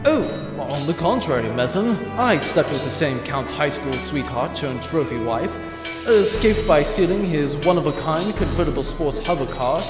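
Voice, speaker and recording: A man as a cocky, high-pitched space captain. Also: expressive, normal speed, close to an everyday voice. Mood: boastful. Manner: theatrical, bantering. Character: cocky swashbuckling male space captain, boastful and flippant. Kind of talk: comedic sci-fi audio drama. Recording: echoey reverberant room, music underneath.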